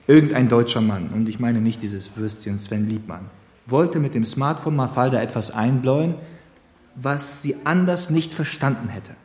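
A man's voice: medium pitch, normal speed, darkish tone, close to an everyday voice. A man playing an earnest, bookish police investigator who lectures precisely, in a radio crime drama. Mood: earnest, lecturing. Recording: roomy, echoing interior.

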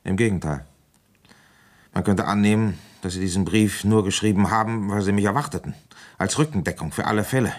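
A weary police inspector played by a male actor speaks in a deep register. He is suspicious and insistent, probing as he talks and narrating his own case in radio-play style. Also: fairly quick, lightly acted. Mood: suspicious, pressing. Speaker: detective and first-person narrator. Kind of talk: German radio play acting.

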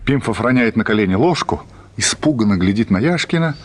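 A male storyteller narrates a radio drama in a low voice. His scripted literary reading moves with a very varied, lively intonation. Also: a little fast.

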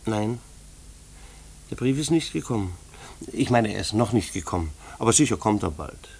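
Husky, bashful country postman. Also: fairly low pitch, lively intonation, normal speed, darkish tone, exaggerated voice. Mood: awkward, reassuring. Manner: stammering, evasive, folksy. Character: kindly, bashful male rural postman. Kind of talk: German radio play acting.

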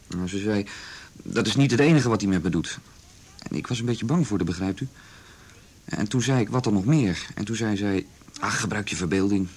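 Earnest, low-voiced schoolboy. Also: male voice, moderate pace, darkish tone, breathy edge. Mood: uneasy recounting. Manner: hesitant, earnest. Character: uneasy, earnest schoolboy witness, respectful. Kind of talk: Dutch radio drama acting.

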